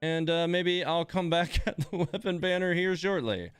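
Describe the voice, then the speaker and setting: A man's voice: high voice, normal speed, clean tone, no echo, clean studio sound, soft background music. A man hosting a game livestream in casual chat, muttering exasperated asides to himself and his viewers. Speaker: livestream host playing a gacha game.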